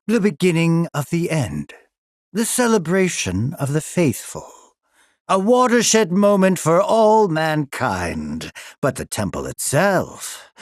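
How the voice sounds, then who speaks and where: An older mad scientist villain from a video game, gleefully condescending and sinister. His rather high voice swoops extravagantly through mock-courteous, drawn-out phrases. It rings in a roomy, echoing space. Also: exaggerated voice. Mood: smug fascination. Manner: mocking, theatrically polite, drawn-out phrasing. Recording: roomy echo, distant from mic.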